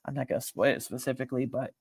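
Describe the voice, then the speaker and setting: A man hosting a film ranking video, talking informally and emphatically with slang and a halting, opinionated delivery. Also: medium pitch, level tone, creaky, a little mellow, breathy edge, no echo, clean studio sound. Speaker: video host ranking films.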